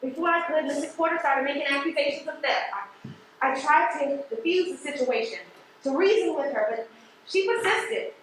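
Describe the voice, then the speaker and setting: Stage drama supervisor female actor: loyal, cornered, earnest and halting, extremely high voice, distant noisy recording. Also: very echoey, natural voice. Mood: guarded. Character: defensive, loyal postal supervisor under interrogation. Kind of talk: stage play acting. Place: theater stage.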